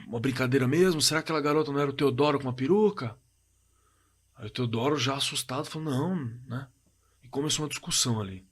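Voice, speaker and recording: A man with a Brazilian accent playing a shaken young man recounting a frightening night in a halting, colloquial way, in a horror audio drama. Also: medium pitch, a bit bright, breathy edge. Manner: halting, repeating words, colloquial. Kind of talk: dramatized first-person horror story, told conversationally.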